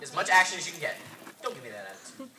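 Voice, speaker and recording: A man's voice: fairly high pitch, very animated, racing. A man playing a bossy, exasperated director in a comedy sketch, stammering and repeating his orders impatiently. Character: bossy, excitable, exasperated male director running rehearsals. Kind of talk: improv-style sketch acting. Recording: echoey noisy room, distant mic, audience.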